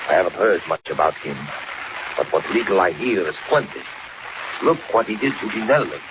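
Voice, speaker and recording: Cold western outlaw boss, low voice, terse and bossy, old muffled radio serial. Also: male voice, lively intonation, a little fast. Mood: wary, menacing. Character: cold, commanding Western gang boss, a male villain. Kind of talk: 1940s radio serial acting. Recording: muffled, noisy old 1940s radio recording.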